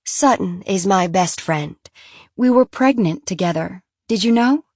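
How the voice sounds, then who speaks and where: A woman's voice: medium pitch, lively intonation, measured pace, darkish tone, no room sound, clean studio sound. A woman reading an audiobook, voicing a genteel, fussy mother who talks in a prim, gushing and insistent way. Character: genteel fussy francophile mother, high-strung.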